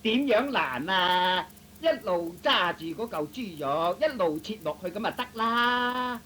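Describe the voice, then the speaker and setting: A man's voice: sits high, lively intonation, a little slow, sharp tone, voice pushed up, full character voice. A man voicing a bossy, impatient shopkeeper's wife who nags and scolds in a Cantonese comic radio play. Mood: scolding, impatient. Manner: scolding, exasperated, naggy. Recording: noisy old radio recording.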